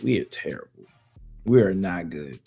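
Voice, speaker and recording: A man co-hosting a podcast, talking sports in a loose, slangy way with a voice that is going hoarse. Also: deep voice, moderate pace, soft background music. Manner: loose, slangy, animated banter with fillers. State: losing his voice. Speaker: podcast co-host. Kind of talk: casual sports talk.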